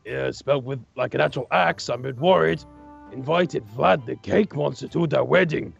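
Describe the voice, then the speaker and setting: Man playing a creaky, excitable young storyteller. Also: mid-range voice, moderate pace, highs cut off, soft background music. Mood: dramatic storytelling. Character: excitable young man gleefully reading silly fan fiction aloud. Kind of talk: hammy storytelling in Minecraft roleplay.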